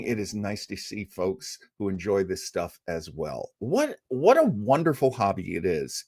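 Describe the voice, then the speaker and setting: A man's voice: mid-range voice, no room sound, clean studio sound. A man hosting a casual live stream, talking in an enthusiastic, rambling way and acting out bits of conversation. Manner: enthusiastic, rambling, acts out quotes. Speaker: livestream host.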